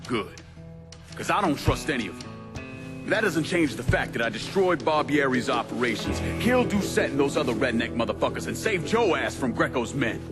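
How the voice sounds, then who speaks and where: An actor playing a hardened veteran turned crime boss in a video game, with blunt, profane authority. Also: male voice, mid-range voice, very animated, brisk, lightly acted. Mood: angry, commanding. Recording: music behind the dialogue.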